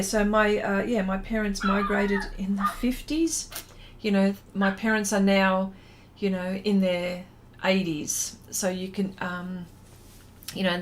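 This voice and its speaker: A woman's voice: medium pitch, slight background noise. A middle-aged Australian woman chatting casually on a livestream, rambling and trailing off as she reads out and answers comments. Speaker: livestream host, artist. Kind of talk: casual livestream chat, reading out viewer comments.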